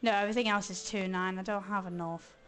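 A hoarse woman chatting casually as she streams a video game, thinking aloud and grumbling playfully. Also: mid-range voice, steady tone, fast, soft background music. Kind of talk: casual let's-play commentary.

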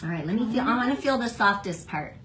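A middle-aged woman gently encouraging and instructing a young child, like a therapist showing how a device works. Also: on the high side, expressive, quick pace. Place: small indoor clinic room. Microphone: handheld phone camera at short distance. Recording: roomy, noisy phone video recording.

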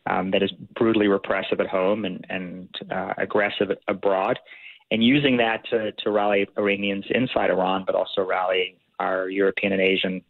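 A man giving measured, policy-focused analysis as a guest in a radio interview, heard over a phone line. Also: low voice, little pitch movement, vocal fry, no echo.

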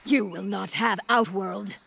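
An actress playing a proud royal warrior in a fighting game, imperious, formal and cutting. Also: female voice, medium pitch, measured pace, vocal fry, background noise. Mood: imperious defiance. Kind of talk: dramatic fighting-game acting.

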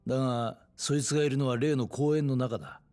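An actor playing a gruff, world-weary middle-aged detective in a video game cutscene, matter-of-fact in delivery. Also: male voice, medium pitch, fairly even intonation, a little fast, darkish tone, soft background music, lightly acted. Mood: serious, cautioning. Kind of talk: Japanese game cutscene acting.

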